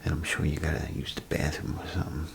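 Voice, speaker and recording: A man doing a soft-spoken, half-whispered ASMR role play as a chatty, grumbling bathroom attendant, rambling and hesitant in a folksy way. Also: a bass voice, little pitch movement, fast talker, background noise. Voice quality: gravelly, breathy half-whisper. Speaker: ASMR performer.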